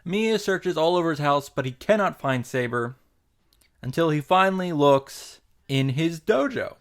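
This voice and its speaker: A man's voice: fairly high pitch, animated, measured pace. A man giving a narrated recap with comic first-person commentary, informal, sweary and mock-exasperated in manner. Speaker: host of a visual novel reaction and summary show. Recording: faint music behind the voice. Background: faint background music.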